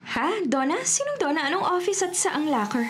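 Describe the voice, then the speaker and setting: A woman's voice: very high-pitched, sing-song, moderate pace, slight background noise. An actress playing a shifty, bewildered young woman with a Filipino accent in a radio drama. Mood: confused.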